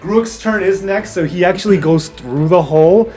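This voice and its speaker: A man's voice: high-pitched, moderate pace. Echoey, dramatic male game master. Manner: excitable, dramatic. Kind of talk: improvised tabletop fantasy narration. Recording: roomy echo, distant, background music.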